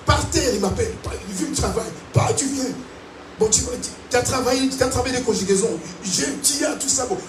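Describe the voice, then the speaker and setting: A man from Africa preaching a sermon to a congregation, animated and calling out to them. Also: extremely high pitch, brisk, music in the background. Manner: animated preaching with call-and-response. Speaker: pastor. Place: large church hall. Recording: echoey hall, distant mic, noisy.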